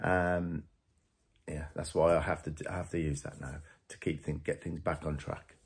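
A man's voice: very deep voice, level tone, normal speed, vocal fry, a little hiss or hum. A man working with a horse outdoors, explaining each step as he goes. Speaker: horse trainer. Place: outdoors in a paddock.

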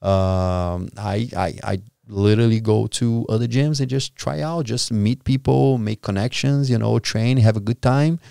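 A man in his forties from Brazil, with a Brazilian Portuguese accent, speaking as a podcast guest into a studio boom mic in a halting way, searching for words. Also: fairly even intonation, creaky, warm tone, clean tone, no echo. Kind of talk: casual interview. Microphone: studio boom mic with headphones.

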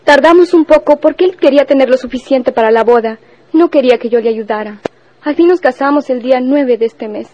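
Young Mexican widow played by a woman, high voice, proud and wounded, for radio melodrama. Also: a little fast, a little mellow, lo-fi sound, a little hiss or hum, natural voice. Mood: sad, wistful. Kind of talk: melodramatic radio serial acting.

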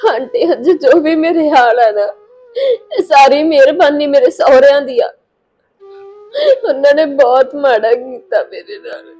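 A woman's voice: sits high, moderate pace, few pauses, a little mellow, close to an everyday voice. A woman playing a heartbroken, wronged young wife in an emotional drama, plaintive and tearful. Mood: tearful, despairing. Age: young adult. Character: heartbroken, wronged young married woman, despairing and refusing comfort. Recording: music behind the voice.

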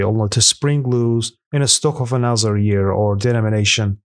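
Male narrator, mid-pitched, steady scripted read, dry close mic. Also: dry recording. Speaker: narrator of a coin auction countdown.

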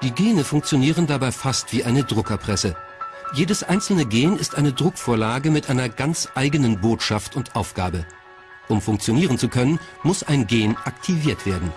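A man's voice: mid-range voice, animated, normal speed. A man reading scripted narration as the narrator of a documentary. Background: faint music.

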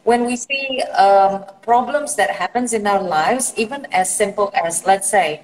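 A woman's voice: medium pitch, measured pace. A woman from Indonesia in her thirties talks into a phone held at arm's length. She explains at length, earnestly, restarting her sentences and filling gaps with "um". The room rings with a long echo. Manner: rambling, earnest, many fillers and restarts. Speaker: guest psychologist. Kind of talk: explaining on a live video call. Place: bright room indoors.

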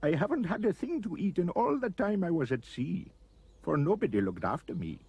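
A man voicing a hungry giant anteater in a storybook, with a soft, drawn-out, mumbling manner. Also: high-pitched, big pitch swings, breathy edge, voice pushed up, heavily acted. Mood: pleading. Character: starving giant anteater, plaintive, later slyly menacing.

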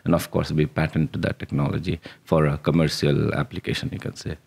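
A man's voice: a bass voice, level tone, fairly quick, gravelly, darkish tone, breathy edge. A man in his forties with an Indian accent, a startup founder answering interview questions earnestly in a small studio. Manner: earnest, with restarts and short laughs. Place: small studio room with dark curtains. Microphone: boom-arm podcast mic on the table.

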